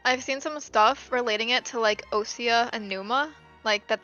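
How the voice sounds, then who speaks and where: A young woman talks casually over a game in halting, repeating phrases. Her voice is fairly high and bright, heard through dull lo-fi audio with music behind it. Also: steady tone. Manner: hesitant, halting phrasing with repetitions. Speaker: gamer commentator. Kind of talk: casual gameplay chat.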